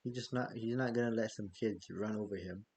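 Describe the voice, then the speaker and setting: A man's voice: lowish voice, steady tone, fairly quick, a little mellow, slight background noise. A man hosting a football fan vlog, chatting loosely with frequent fillers and self-corrections. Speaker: football fan channel host. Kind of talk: casual news vlog.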